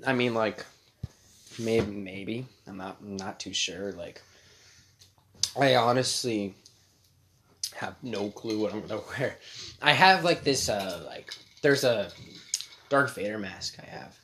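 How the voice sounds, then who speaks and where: A young man with a deep voice, co-hosting a casual podcast from a friend's house, laid-back and joking, swearing casually. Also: slow pace, long pauses, bright, forceful tone, slight background noise. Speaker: podcast co-host.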